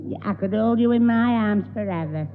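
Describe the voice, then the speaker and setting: A man's voice: high voice, a little fast, a little hiss or hum, lightly acted. An actor playing a bumbling, lovesick young man who stammers and muddles his words in a 1960s radio sitcom. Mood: soppy. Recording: tinny old radio recording.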